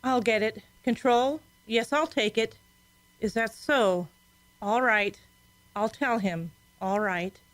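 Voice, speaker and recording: An actress playing an efficient female control room secretary in an old-time radio drama, measured and matter-of-fact. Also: on the high side, dry recording, rough recording. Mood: composed.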